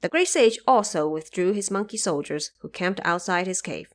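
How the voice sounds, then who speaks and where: A woman's voice: on the low side, animated, normal speed. A woman reading scripted narration as the storyteller of an audio drama.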